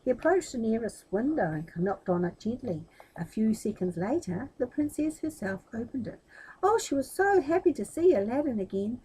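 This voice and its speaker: A woman's voice: on the high side, warm tone, slightly rough, rough recording. A woman reading a children's story expressively, dramatic and speaking directly to her listeners.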